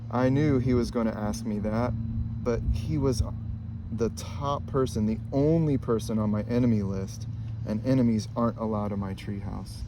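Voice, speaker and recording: A man reading a children's picture book aloud in a calm, even storytelling manner. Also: on the low side, moderate pace, background noise. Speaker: storybook reader.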